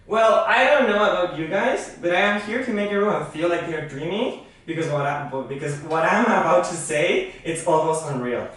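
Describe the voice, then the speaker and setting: A man's voice: high voice, expressive, normal speed, few pauses, a bit bright. A man playing a cocky skeptic philosopher as a guest in a talk-show skit. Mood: boastful. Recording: echoey room, distant mic, background music.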